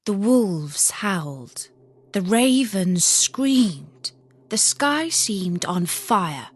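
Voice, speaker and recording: A woman storyteller narrating a scripted fairy tale in a measured, expressive way. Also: mid-range voice, breathy edge.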